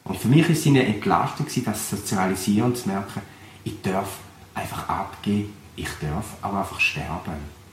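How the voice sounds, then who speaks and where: A young man from Switzerland, a pastor with a Thurgau Swiss German accent, giving an earnest, reflective personal testimony at a measured pace. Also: fairly low pitch. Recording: roomy recording with faint background noise.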